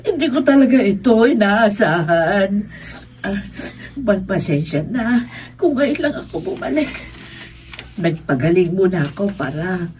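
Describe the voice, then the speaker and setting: A woman's voice: medium pitch, sing-song, unhurried, darkish tone, far from the mic, slight background noise. A woman acting a tender, emotional middle-aged mother forgiving her children in a Filipino radio drama. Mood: overjoyed, grateful.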